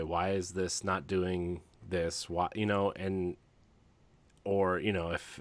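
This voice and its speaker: A man co-hosting a podcast in casual chat, talking in a rambling, halting, self-deprecating way. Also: low voice, dry recording. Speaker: podcast co-host.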